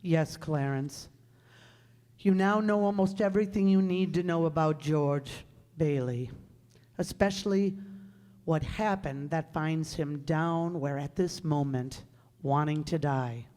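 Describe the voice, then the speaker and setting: An actor playing a patient senior angel in a radio play, narrating in a measured storytelling manner. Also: male voice, on the high side, darkish tone. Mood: grave. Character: senior heavenly angel, patient storyteller briefing his underling. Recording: echoey hall, long reverb.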